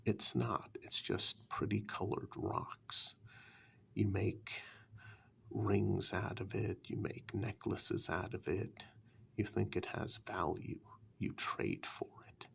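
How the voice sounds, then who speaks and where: Man as gravelly, half-whispering sly fairytale warlock. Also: fairly low pitch, level tone, a bit bright, exaggerated voice. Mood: scornful, sly. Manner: slow, deliberate, dryly dismissive. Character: sly, condescending dark-fairytale warlock, coolly bargaining. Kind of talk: soft-spoken ASMR role-play.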